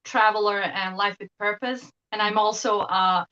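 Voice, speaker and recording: Bright-voiced woman, guest on a video call. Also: mid-range voice, steady tone, moderate pace, dull audio, slight background noise. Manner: thoughtful, hesitant with frequent ums, laughs lightly. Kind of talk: casual interview talk.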